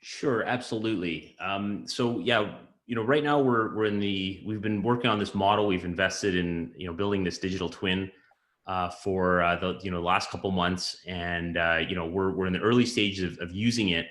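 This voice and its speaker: A very low-voiced man gives a technical explanation in a hesitant, stop-and-start way, often repeating words as he goes. The room around him rings with a clear echo. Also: fairly even intonation, fairly bright tone. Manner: halting, hesitant, with repeated words and broken phrases. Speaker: systems modeler presenting his work. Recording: roomy echo.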